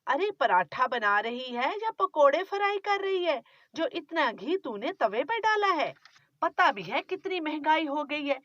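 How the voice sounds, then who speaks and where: Lady voicing a nagging stingy old mother-in-law, loud and scolding, dull dry sound. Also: high voice, big pitch swings, unhurried, no echo, voice pushed up, heavily acted. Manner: scolding, penny-pinching complaints.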